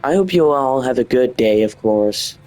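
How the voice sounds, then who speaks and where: A man's voice: medium pitch, expressive, normal speed, a little mellow, faint music behind. A man with a Dutch accent giving casual gaming commentary in short, informal, emphatic phrases. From Netherlands. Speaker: gaming video host.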